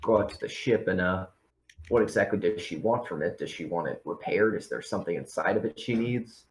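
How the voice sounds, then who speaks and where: A man playing a practical, steady spaceship crew member with casual, matter-of-fact phrasing in a tabletop game. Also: deep voice, a little fast, a little hiss or hum. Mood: questioning. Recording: echoey room.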